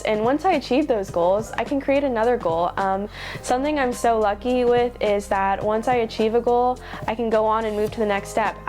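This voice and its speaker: A twenty-year-old American woman from Atlanta gives an earnest personal testimony with emphatic, repeated phrasing. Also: fairly high pitch. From Atlanta, Georgia, United States. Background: soft music.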